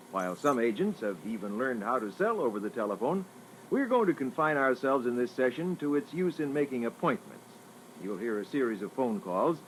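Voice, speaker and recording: Formal male narrator, very lively intonation, noisy tape. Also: mid-range voice. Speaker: narrator of a sales training record. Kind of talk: scripted instructional narration. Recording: noisy old reel-to-reel tape.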